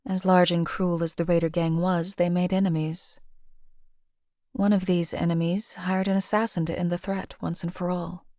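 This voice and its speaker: A cold, detached young woman who was raised as property and learned to kill without hesitation, voiced by an actress for a game. She speaks quietly and matter-of-factly with a slightly low voice. The recording is dry and close, with no room sound. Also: normal speed, no room sound, close to an everyday voice. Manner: quiet, matter-of-fact, emotionally flat delivery. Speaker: game character.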